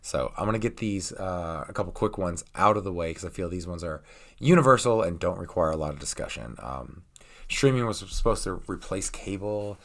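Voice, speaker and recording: Raspy low-voiced man getting over a cold. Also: lively intonation, a little fast, fairly bright tone. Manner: rambling, frequent ums and uhs. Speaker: channel host. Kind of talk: casual vlog rant.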